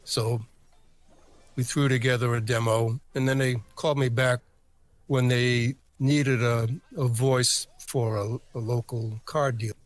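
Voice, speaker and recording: A man answering as an interview guest, a voice actor talking casually in a halting, reflective way. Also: mid-range voice, level tone, dry recording.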